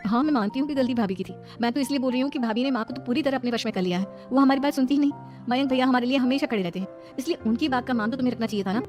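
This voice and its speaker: Conciliatory elder sister acted by a woman, high, brisk, reasoning, cartoon story, faint music. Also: darkish tone, natural voice. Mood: gently reasoning. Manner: reasoning, explanatory. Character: sensible, conciliatory eldest young sister. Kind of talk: animated moral-story cartoon voicing.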